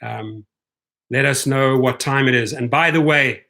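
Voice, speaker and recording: A man's voice: mid-range voice, unhurried, vocal fry, pushed and bright. A middle-aged man with a South African accent hosts a rideshare livestream, reading viewer comments and rallying fellow drivers, often tagging on "right?". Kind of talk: live-stream chat reading viewer comments.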